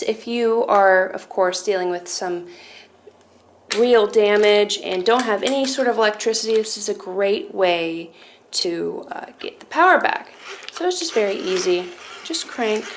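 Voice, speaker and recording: A woman's voice: medium pitch, expressive, unhurried, slight background noise. A woman presenting a casual product demonstration, explaining things step by step.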